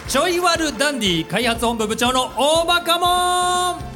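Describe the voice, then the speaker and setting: A man's voice: very high-pitched, lively intonation, normal speed, crowd noise. An actor playing a good-natured, easily flustered male office worker hosting a live radio drama event. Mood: upbeat. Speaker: host and leader of the team. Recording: live event with music behind.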